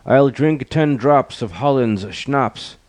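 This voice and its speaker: A man's voice: medium pitch, slow, darkish tone, no room sound, pitched above the performer's own voice, natural voice. A man voicing a pompous, imperious lion king in a declamatory, commanding manner.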